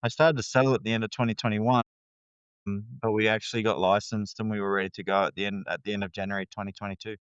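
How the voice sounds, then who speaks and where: A man's voice: fairly low pitch, flat delivery, fast, fairly bright tone, smooth, no echo, highs cut off. A man with an Australian accent, a guest talking candidly in a casual interview, rambling with fillers and restarts.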